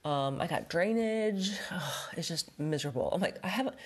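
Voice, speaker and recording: Low-voiced, chatty woman with a stuffy nose. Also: lively intonation, moderate pace, breathy edge. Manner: chatty, rambling, many fillers. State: stuffed up with a head cold. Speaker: podcast host, home stager. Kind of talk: casual solo podcast talk.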